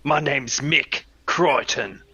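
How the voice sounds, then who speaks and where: Man putting on a raspy, sharp-edged British hunter. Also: medium pitch, takes it slowly, muffled recording, full character voice. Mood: deadpan boasting. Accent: vaguely British. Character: tall burly rugged male dinosaur hunter.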